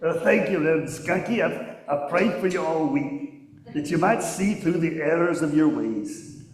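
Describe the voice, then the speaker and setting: A man as quarrelsome pious puppet bishop, halting speech, somewhat high male voice, strong room echo. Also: animated, close to an everyday voice. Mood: self-righteous. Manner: halting, self-righteous. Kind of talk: live children's puppet show. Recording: very echoey church hall.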